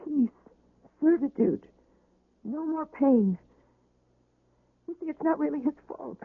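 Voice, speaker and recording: An actress playing a weary, despairing wife recovering from surgery, mournful and yearning, in a radio drama. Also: female voice, high-pitched, animated, slow, gravelly, narrow, tinny audio, heavily acted. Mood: despairing, longing. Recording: roomy, band-limited old radio recording.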